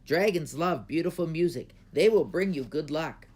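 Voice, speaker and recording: A man's voice: a little high, animated, normal speed, a little mellow, breathy edge, pitched above the performer's own voice. A man voicing a wise, earnest old man in a children's storybook read aloud. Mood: gentle, earnest. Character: wise old man who speaks to dragons.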